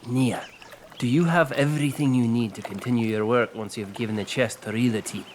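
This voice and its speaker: An actor playing a composed, cautious knightly leader who speaks formally in a fantasy audio drama. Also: male voice, mid-range voice, brisk, a little hiss or hum, natural voice. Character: courteous, cautious knightly leader of a questing party.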